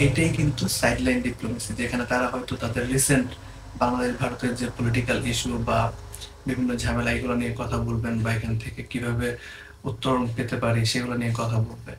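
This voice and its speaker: A man's voice: mid-range voice, flat delivery, fast. A man with a Bangladeshi accent gives an informal explainer lesson, mixing English terms into his explanations. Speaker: presenter explaining diplomatic terms. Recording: echoey room, faint background noise.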